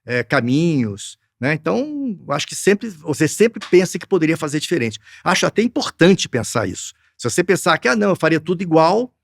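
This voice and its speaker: A man's voice: fairly high pitch, sing-song, fairly quick, vocal fry, hardly any pauses, dry recording, clean studio sound. A man with a Brazilian Portuguese accent, a film producer answering interview questions earnestly, with frequent restarts and fillers. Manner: hesitant, restarts a lot, earnest.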